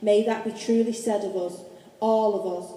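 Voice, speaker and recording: A woman's voice: medium pitch, fairly even intonation, normal speed, warm tone. A woman narrating a radio play in a storybook style. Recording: echoey hall, long reverb.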